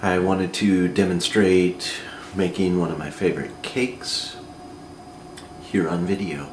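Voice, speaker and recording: A North American man with a low voice talks through cooking steps as an amateur host. His delivery is plain and unhurried. He is recorded on a camera mic in a roomy kitchen, with clear background noise. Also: fairly even intonation. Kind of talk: casual step-by-step cooking demonstration. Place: home kitchen, indoors. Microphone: camera microphone, a short distance away.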